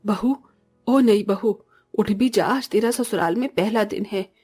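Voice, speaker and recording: A woman's voice: mid-range voice, unhurried, darkish tone, slightly rough, faint music behind. A woman playing a bossy middle-aged mother-in-law in a cartoon family drama, with a commanding, drawling manner.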